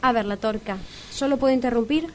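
Woman acting as an argentine dating agency lady, businesslike n formal, highish voice, radio comedy. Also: fast talker, crowd noise, close to an everyday voice. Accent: Rioplatense Argentine. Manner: formal, salesy, explanatory. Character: brisk, businesslike, polite female matchmaking agency representative.

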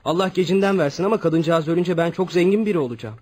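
An actor playing a proud, honest young son in a radio drama, speaking earnestly. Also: male voice, on the high side, quick pace, a little mellow, lightly acted. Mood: amazed, hopeful.

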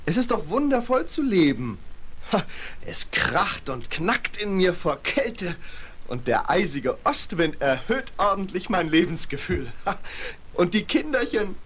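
Cheerful vain snowman, slow, husky, exclamatory, self-satisfied, children's audio drama. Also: high-pitched, very animated, fairly bright tone, full character voice. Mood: exhilarated. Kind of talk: 1970s German children's radio play acting.

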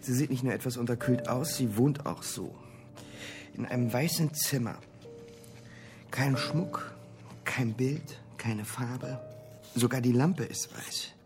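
A man acting as a brooding, earnest detective boss in a radio play. His voice sits at a medium pitch, and he explains things in a firm, deliberate way. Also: over music, close to an everyday voice. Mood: businesslike. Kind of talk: radio crime drama dialogue.

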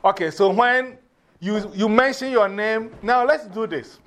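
Ghanaian guy teaching class, highish voice, keeps repeating instructions, bit of background noise. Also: measured pace, a bit bright. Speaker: teacher of a drumming and English class.

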